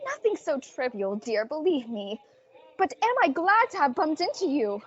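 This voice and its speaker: A woman's voice: high-pitched, very animated, normal speed. A woman playing an earnest, frazzled department store advertising executive in an old-time radio drama. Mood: worried, wry. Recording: dry, dull lo-fi audio.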